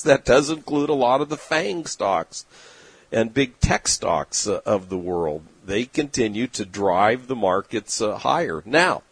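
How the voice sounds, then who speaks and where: Middle-aged male fund manager, husky, opinionated and folksy; dry, close recording. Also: mid-range voice, no echo. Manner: plain-spoken, opinionated, folksy, many "uh"s. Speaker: investment manager and newsletter writer. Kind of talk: direct-to-camera market commentary.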